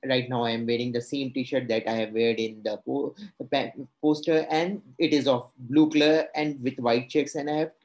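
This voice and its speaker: A man's voice: medium pitch, darkish tone, poor audio. Earnest Indian man presenting on a video call. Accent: Indian English. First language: Hindi. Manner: earnest, halting with restarts and fillers. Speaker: panelist presenting. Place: home room, indoors.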